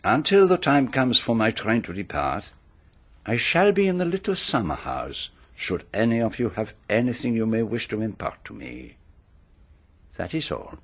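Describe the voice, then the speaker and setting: An actor playing a vain, precise celebrated detective in a radio drama, with a pompous, formal manner. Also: male voice, on the low side, very animated, moderate pace, slightly rough, full character voice. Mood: formal, self-important.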